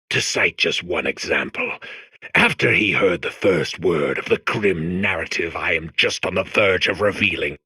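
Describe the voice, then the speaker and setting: Raspy, manic horror-tale host. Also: male voice, no room sound, exaggerated voice. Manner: melodramatic, swinging from hushed whispers to shrill shouts. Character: manic, theatrical male terror-tale host, grandiose and absurdly overdramatic. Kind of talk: mock-horror storytelling.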